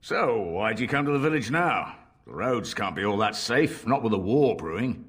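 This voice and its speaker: An actor playing a wary, sardonic village tavern barkeep in a fantasy video game, with a gruff, husky delivery. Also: male voice, lowish voice, expressive, normal speed, a bit bright.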